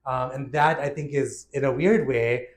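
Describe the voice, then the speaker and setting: A man's voice: medium pitch, moderate pace, reverberant. A man hosting a casual interview, talking reflectively with many fillers, whose first language was Urdu. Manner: warm affirming, frequent fillers. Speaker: podcast host.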